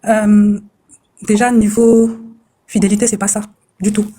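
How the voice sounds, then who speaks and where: A woman's voice: medium pitch, level tone, a little slow, warm tone. A woman caller from France telling her troubles, hesitant and distressed and often repeating herself.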